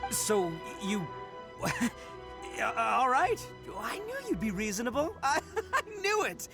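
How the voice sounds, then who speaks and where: A brash, proud Oni brawler. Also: male voice, very high-pitched, huge pitch swings, slow pace, vocal fry, over music, heavily acted. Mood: boisterous. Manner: boastful, hearty laughs, earnest when serious. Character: brash young male Oni gang leader, proud and boisterous. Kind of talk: game cutscene voice acting.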